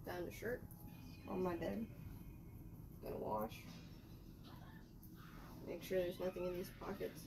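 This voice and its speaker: A young woman rambles casually while sorting laundry, her voice gravelly with fry. She is recorded by a camera mic across a small bedroom, with a clear hiss of noise underneath. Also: fairly low pitch, lively intonation, takes it slowly, pauses often. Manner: rambling, chatty, talking to herself while doing laundry. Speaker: vlogger. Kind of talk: casual vlog narration. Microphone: camera mic at a distance.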